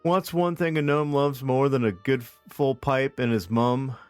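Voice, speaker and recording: A man's voice: medium pitch, steady tone, moderate pace, smooth, no echo. A man co-hosting a podcast, reading a comic story aloud as a storyteller. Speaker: podcast co-host. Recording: dry close sound with music behind. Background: music.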